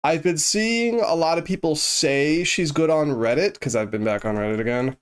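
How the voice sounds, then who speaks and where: A man's voice: a little high, moderate pace, smooth. A man streaming live, chatting casually with viewers in a rambling, easygoing way while he plays, his voice congested and a bit sniffly. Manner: rambling, easygoing, thinks aloud with many restarts.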